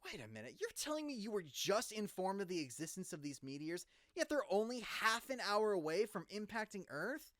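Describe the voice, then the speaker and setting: A man's voice: high voice, sing-song, a little fast, few pauses, a bit bright, no room sound, studio quality. A man playing an incredulous, urgent radio news host in a dramatized live broadcast. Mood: incredulous, alarmed.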